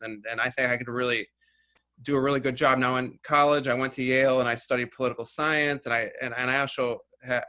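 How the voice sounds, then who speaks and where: A filmmaker whose first language was Russian, talking casually as an interview guest and rambling through many restarts and "you know"s. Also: male voice, mid-range voice, bright, forceful tone.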